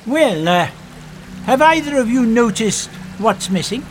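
A man's voice: extremely high pitch, lively intonation, measured pace, slight background noise. An actor playing an old male time traveller in an audio drama, musing and lecturing with little hums.

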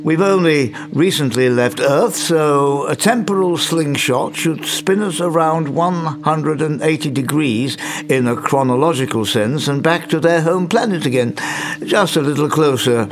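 An actor with an English accent playing a crotchety, brilliant old scientist in an audio drama, pompous and muttering, with old-fashioned phrasing. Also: male voice, fairly high pitch, unhurried. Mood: lecturing. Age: older.

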